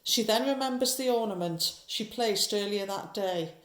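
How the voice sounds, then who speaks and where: Echoey woman narrator, medium pitch. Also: normal speed, a bit bright. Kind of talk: scripted narration of a horror audio drama.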